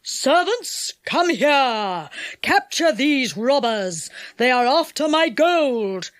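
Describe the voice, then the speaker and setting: A woman voicing a bullying male enchanter in a storybook, loud and commanding, drawing out his words. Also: expressive, slow pace, clear, resonant tone. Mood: furious. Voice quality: loud, booming.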